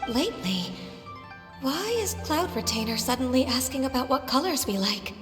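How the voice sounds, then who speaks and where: Casting: modest, polite young heroine, very high, gentle delivery for a fantasy game. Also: female voice, sing-song, moderate pace. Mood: shy, surprised. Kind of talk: video game voice acting. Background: music.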